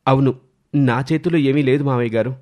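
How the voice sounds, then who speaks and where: A meek young husband in a family story, acted by a man, hesitant and halting. His voice sits at a middle male pitch. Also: mellow, close to an everyday voice. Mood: resigned.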